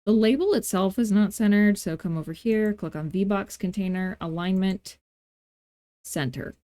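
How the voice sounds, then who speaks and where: A woman hosting a software tutorial in a casual, playful way, thinking aloud and tossing in self-deprecating asides as she works. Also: mid-range voice, moderate pace, no room sound. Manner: informal thinking aloud while working. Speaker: tutorial host. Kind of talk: casual screen-recorded software tutorial narration.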